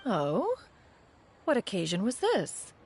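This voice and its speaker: A woman's voice: mid-range voice, huge pitch swings, takes it slowly, darkish tone, a little hiss or hum, exaggerated voice. A woman playing a proud, stately immortal mentor in a video game, speaking in a formal, archaic, grandiose manner. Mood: proud, indignant. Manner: formal, archaic, grandiose, refers to self as one.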